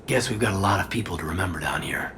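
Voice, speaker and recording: A man acting a sober, loyal, reflective officer in a video game, serious and breathy. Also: deep voice, fast talker, noisy background, lightly acted. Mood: somber. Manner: subdued, serious.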